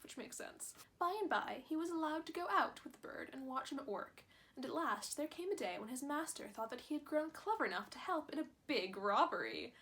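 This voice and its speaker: A woman's voice: fairly high pitch, a bit bright. A young woman reading a fairy tale aloud as a host, with chatty, self-deprecating asides. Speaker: channel host.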